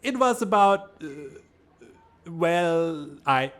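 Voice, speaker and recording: Guy doing flustered teen student, stammery and fed up, very animated voice, bit of mic noise. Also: on the high side, drawn-out words, exaggerated voice. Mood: exasperated. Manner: hesitant, exasperated, stammering. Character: hapless, bewildered teenage student. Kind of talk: animated comic-dub voice acting.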